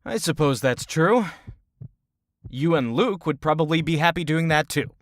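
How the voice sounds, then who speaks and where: Female actor as skeptical adventurer, low voice, lively intonation, blunt, wry, fantasy audio drama. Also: moderate pace, dry recording, studio quality.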